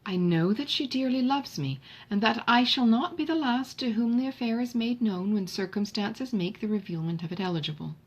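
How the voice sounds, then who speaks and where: A woman's voice: fairly high pitch, normal speed, few pauses, pitched above the performer's own voice. A woman voicing an indulgent, romantic mother in a classic novel audiobook, earnest, emphatic and genteel in manner. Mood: earnest, protective. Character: indulgent, romantic mother defending her daughter's privacy.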